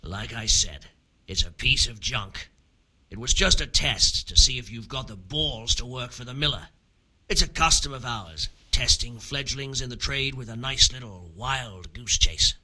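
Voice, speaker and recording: An actor playing a scheming, vengeful criminal who hands out shady jobs in a medieval video game, sly and scornful. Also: male voice, medium pitch, measured pace, pushed and bright, rough recording.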